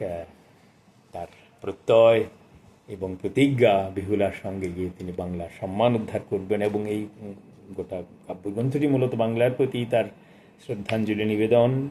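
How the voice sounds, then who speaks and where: A man in his sixties presenting a book of poetry, speaking and reciting in a measured, expressive way with formal literary diction. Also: lowish voice, slight background noise. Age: 60s.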